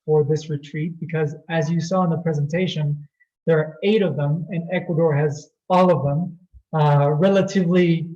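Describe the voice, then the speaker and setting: A man's voice: on the high side, fairly even intonation, normal speed, mellow. A man from Colombia co-hosting a webinar, talking enthusiastically and repeating words as he searches for them, over a video call. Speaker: retreat co-host. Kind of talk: presenting a webinar. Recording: dull lo-fi call audio.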